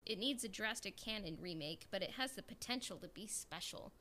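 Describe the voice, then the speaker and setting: A woman's voice: mid-range voice, animated, a little fast, pushed and bright. A woman hosting a casual podcast, chatting informally and reading out listener comments. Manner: informal, conversational. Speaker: podcast host.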